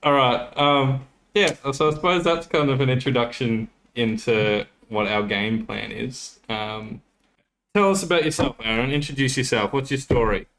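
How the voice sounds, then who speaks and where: A man's voice: mid-range voice, normal speed, a bit bright, a little hiss or hum, poor audio. A young Australian man co-hosting a podcast in a casual, rambling way, often correcting himself as he goes. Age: young adult. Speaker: podcast co-host. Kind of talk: casual chat.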